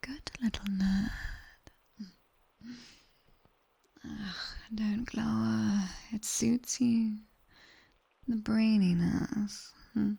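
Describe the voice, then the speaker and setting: A British woman playing a sharp-tongued, teasing nightmare demon tutor in an ASMR roleplay, whispering breathily and intimately close to a binaural mic. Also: medium pitch, fairly even intonation, gravelly, slightly rough, full character voice. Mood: teasing, fond. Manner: slow, intimate, teasing, commanding. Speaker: ASMR performer. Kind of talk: whispered ASMR roleplay, guided body scan. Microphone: close binaural ear microphone.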